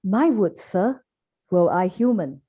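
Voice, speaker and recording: A woman's voice: mid-range voice, animated, takes it slowly, warm tone, husky. A woman reading the part of an obedient, compassionate airy spirit, reciting verse deferentially over a dull online call.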